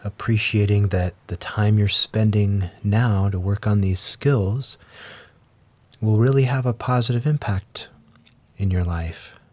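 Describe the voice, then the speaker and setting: Slow, low-pitched man guiding a meditation. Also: no room sound. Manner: gentle, measured, with long pauses. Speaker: guided meditation teacher. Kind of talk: guided meditation, slow scripted instruction.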